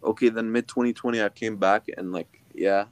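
A man's voice: on the low side, fairly even intonation, a little fast, clear, resonant tone, no echo. A young man co-hosting a podcast, chatting in a laid-back, dry way with casual slang. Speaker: podcast co-host. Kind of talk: casual chat.

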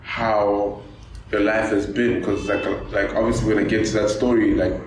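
A man's voice: fairly low pitch, level tone, moderate pace. A man hosting a casual chat, laid-back and slangy, often cutting in. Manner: laid-back and chatty, cuts in often, uses slang like "dog" and "dude". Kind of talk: casual conversation. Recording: very echoey room with background noise.

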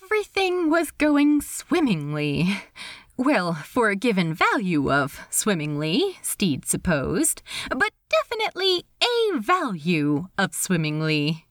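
A woman narrating a story aloud as an expressive audiobook reading. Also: medium pitch, slow pace, dry recording, clean studio sound. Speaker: fan fiction reader.

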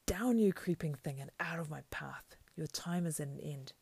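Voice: mid-range voice, moderate pace, creaky, darkish tone, husky, no echo. A narrator voicing a stern, weary, resolute male hero, solemn and breathless, in a storybook reading. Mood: stern command.

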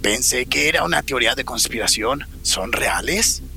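An actor playing a weary war survivor pleading for mercy, earnest, with a sharp, gravelly, husky voice, in a Spanish-language radio drama. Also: male voice, mid-range voice, animated, moderate pace, full character voice. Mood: incredulous.

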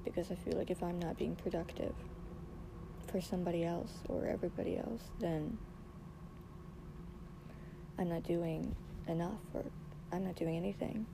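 A woman hosting a personal podcast while losing her voice; she talks softly and haltingly, raspy and hoarse, with long pauses. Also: lowish voice, steady tone, noisy background. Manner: soft-spoken, halting, reflective, many pauses. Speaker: podcast host. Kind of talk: personal solo podcast monologue.

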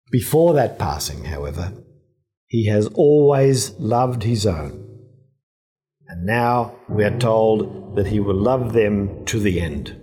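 A man, a priest, giving a measured, reflective commentary on scripture, with phrases that trail off quietly. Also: on the low side.

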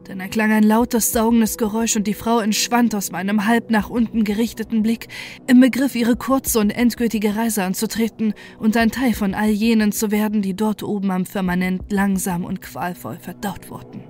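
A woman reading a scripted first-person horror story in a measured, grave storytelling manner. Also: medium pitch, flat delivery, a little mellow. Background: music.

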